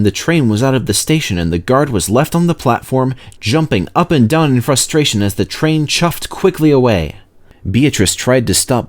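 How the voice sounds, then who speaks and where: A man reading a children's story aloud as narrator, in expressive scripted narration. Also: medium pitch. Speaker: narrator, reader of children's stories.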